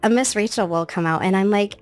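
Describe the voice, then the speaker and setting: A woman in her late thirties, a guest in a casual interview, with a naturally high, sing-song voice that jumps up into bright baby-talk tones when she quotes. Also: fairly quick, soft background music. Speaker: guest, children's show creator.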